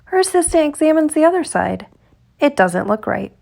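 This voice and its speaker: A woman reading a children's picture book aloud in an expressive, deliberate storytelling manner, stretching words for emphasis. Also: high voice, vocal fry. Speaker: storytime reader.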